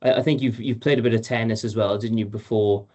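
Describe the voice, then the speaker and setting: A man's voice: on the low side, fast, lo-fi sound. Man hosting a sports podcast. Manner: steady, prepared questions with some restarts. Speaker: podcast co-host. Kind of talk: reading a guest introduction, then asking questions.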